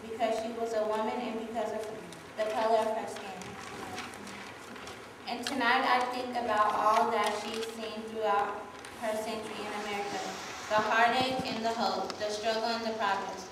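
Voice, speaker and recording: Young woman, slightly high pitch, speech reading, distant, clear background noise. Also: flat delivery, normal speed. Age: young adult. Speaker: congregation youth member reading a speech. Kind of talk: read-aloud political speech at prayer service. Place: large church, indoors. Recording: echoey, noisy church hall from far off.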